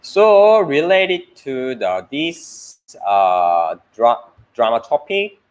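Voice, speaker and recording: Korean guy co-hosting a language chat, slow and hesitant, voice goes up and down a lot. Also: medium pitch, sing-song. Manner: slow, halting speech in non-native English with many fillers. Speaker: co-host teaching Korean.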